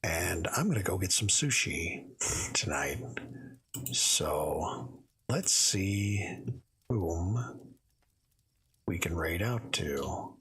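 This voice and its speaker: Hoarse man reading an old tale. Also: sits low, expressive, fairly bright tone. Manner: measured reading with small slips and self-corrections. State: a little hoarse. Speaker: streamer reading stories aloud. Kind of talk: reading a classic story aloud on a livestream.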